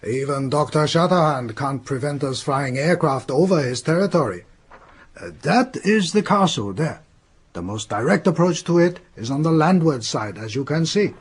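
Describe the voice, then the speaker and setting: An actor playing a businesslike Japanese police superintendent in a radio drama, giving briefings in a matter-of-fact way. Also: male voice, on the high side, animated, normal speed, husky. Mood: factual briefing.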